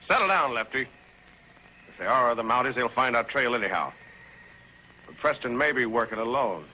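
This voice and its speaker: An actor playing a ruthless, calculating outlaw boss in a 1950s TV western, blunt and commanding, with rustic speech. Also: male voice, medium pitch, animated, normal speed, pushed and bright, slight background noise, rough recording. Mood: firm, scheming. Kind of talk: 1950s television western acting. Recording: old 1950s TV soundtrack with music.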